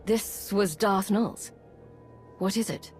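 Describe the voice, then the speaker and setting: A woman's voice: medium pitch, slow pace, a little mellow, natural voice. An actress voicing a confident, wry female commander in a space saga video game, cool and pointed in manner. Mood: cool, intrigued. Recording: game dialogue over faint music.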